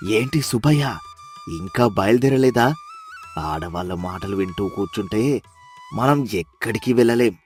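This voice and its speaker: A man's voice: mid-range voice, expressive, a little slow, long pauses, darkish tone, faint music behind, natural voice. A man playing a greedy, stubborn village husband in a moral story, talking in a bossy, dismissive, persuasive way.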